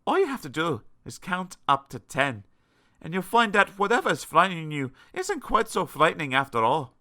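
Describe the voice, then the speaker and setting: A storybook reader voicing a kindly male tramp who speaks in a gentle, reassuring way. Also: mid-range voice, big pitch swings, moderate pace, pitched above the performer's own voice. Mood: gentle reassurance. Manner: gentle, soothing.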